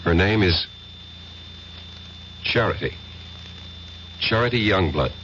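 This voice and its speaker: A man's voice: low-pitched, expressive, a bit bright, heavily acted. A man playing a hesitant, polite history professor with a haunted air in a vintage radio mystery. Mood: shaken, urgent. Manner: halting, stammering, polite. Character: flustered, hesitant male American history professor, quietly haunted. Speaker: radio drama actor. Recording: muffled, hissy old radio recording.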